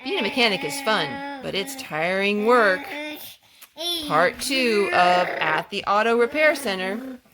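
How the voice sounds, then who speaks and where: A woman's voice: mid-range voice, lively intonation, measured pace, noisy background. A woman reading aloud to young children at a storytime, speaking simply with asides to the little ones. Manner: simple, child-directed talk.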